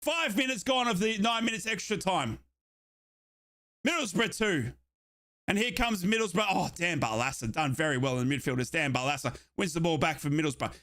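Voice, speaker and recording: A young Australian man giving excited live football commentary on a watchalong stream, shouting and repeating himself, his voice going hoarse. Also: high-pitched, moderate pace.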